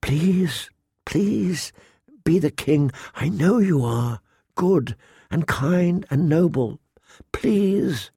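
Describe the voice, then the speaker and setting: A man's voice: fairly high pitch, lively intonation, a little slow, a little mellow, no room sound, studio quality. A man voicing an earnest young noble girl in an audiobook, pleading eagerly and deferentially. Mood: soft pleading.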